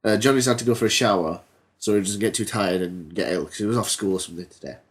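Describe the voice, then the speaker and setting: Teenage boy hosting a podcast with a bunged-up nose from a cold and a lowish voice. Deadpan storytelling and rambling, hesitant chat. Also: fast, husky. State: congested from a cold. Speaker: podcast host. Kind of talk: comedy storytelling and casual chat.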